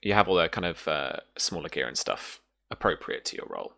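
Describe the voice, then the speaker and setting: A man's voice: a bass voice, sing-song, a little fast, gravelly, bright, forceful tone, dry recording, clean studio sound. A man casually narrating and explaining rules as a game master, feeling his way through explanations piece by piece.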